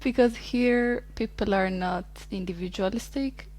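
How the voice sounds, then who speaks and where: A woman's voice: medium pitch, unhurried, a little mellow. Easygoing woman in her twenties. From Ethiopia. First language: Amharic. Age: 20s. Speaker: podcast guest. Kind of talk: casual interview chat.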